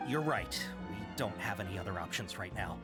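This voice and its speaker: A man's voice: deep voice, expressive, fairly quick. A man voicing an earnest, obliging caravan merchant in an English video game. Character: eager, grateful caravan merchant, adult. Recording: game music behind voice.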